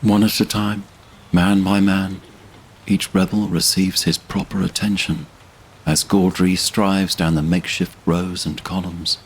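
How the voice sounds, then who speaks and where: Very deep, slow-paced male narrator. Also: flat delivery, a little hiss or hum, poor audio. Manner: solemn, measured, dramatic. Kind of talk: literary fantasy audio drama narration.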